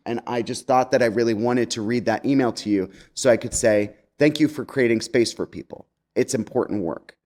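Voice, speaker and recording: A man's voice: mid-range voice, brisk, hardly any pauses, a little mellow. A man giving a conversational message to a congregation as a guest speaker, chatty and wry, with campy slang.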